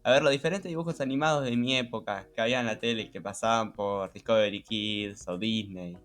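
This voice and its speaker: Lowish-voiced Rioplatense man chatting on a podcast. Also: brisk, a bit bright. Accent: Rioplatense Spanish. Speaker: podcast co-host. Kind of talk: casual podcast chat. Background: background music.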